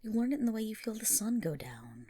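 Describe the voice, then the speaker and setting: A woman playing an affectionate, teasing selkie in an ASMR roleplay, speaking softly and breathily with a lilt and fond scolding. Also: mid-range voice, very animated, fast, slightly rough. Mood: wistful, gentle.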